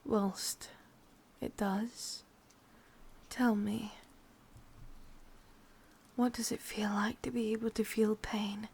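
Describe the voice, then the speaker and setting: Sadistic lovesick witch played by a woman, English accent, breathy whispered ASMR roleplay, creaky edge, slow, menacingly tender. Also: mid-range voice, level tone, darkish tone, slight background noise. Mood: coolly curious. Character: sadistic, possessive yandere witch, a lovesick hopeless romantic.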